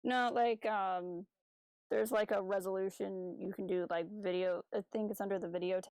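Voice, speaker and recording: A woman's voice: medium pitch, fairly even intonation, normal speed, darkish tone, no room sound. A woman co-hosting a podcast, talking in a casual, conversational way. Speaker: podcast co-host. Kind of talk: casual chat.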